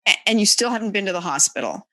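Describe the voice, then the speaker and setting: A woman's voice: medium pitch, animated, fast talker, pushed and bright, no echo. A woman hosting a podcast, interviewing conversationally and repeating phrases for emphasis. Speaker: podcast host.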